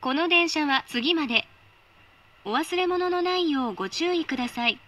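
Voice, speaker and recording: A woman giving polite, formal automated train announcements in a measured voice. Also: high voice, animated, pushed and bright.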